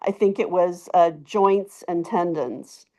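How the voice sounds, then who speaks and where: A woman's voice: on the low side, takes it slowly, dark tone. A woman giving a casual testimonial, telling her story conversationally with emphatic repetition and asides. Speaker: webinar participant giving a testimonial.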